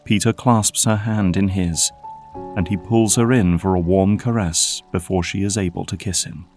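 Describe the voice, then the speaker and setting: A man's voice: low voice, fairly even intonation, normal speed, a little mellow, faint music behind. A man delivering scripted dramatic narration as the narrator of an audio drama.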